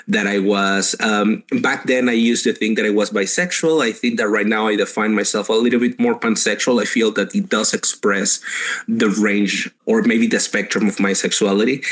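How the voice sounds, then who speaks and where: Venezuelan guy late 20s, spanish accent, thoughtful chatty guest, mid-pitched voice. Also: fairly bright tone. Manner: thoughtful, searching for words, laughs often. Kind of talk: casual conversation.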